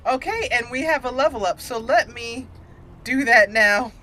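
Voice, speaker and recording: A woman's voice: on the high side, moderate pace, sharp tone, low-quality recording. A woman giving casual let's play commentary, chatty and thinking aloud as she plays. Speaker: gamer narrating a video game roleplay playthrough. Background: game music behind the voice.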